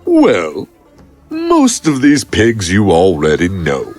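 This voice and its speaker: A man playing a mock-polite, sinister infernal waiter in a horror audio drama, husky and savouring each phrase. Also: low-pitched, huge pitch swings, takes it slowly, a little mellow, soft background music, full character voice. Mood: gloating politeness. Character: smugly courteous infernal waiter, mocking and sinister.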